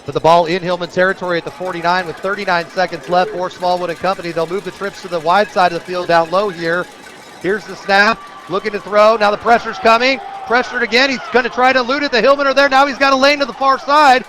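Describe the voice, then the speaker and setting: A man calling live football play-by-play on the radio, excitable and breaking into shouts on big plays. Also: sits high, fast. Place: outdoor football stadium broadcast.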